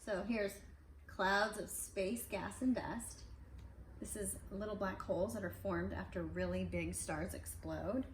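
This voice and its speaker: A woman's voice: mid-range voice, steady tone, a little slow. Casting: woman, parent reading to kids at home, conversational with explanatory asides, roomy sound with faint noise. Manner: conversational, explains pictures as she reads. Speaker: parent reading aloud to children. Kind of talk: casual picture-book read-aloud with asides to kids. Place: indoors at home.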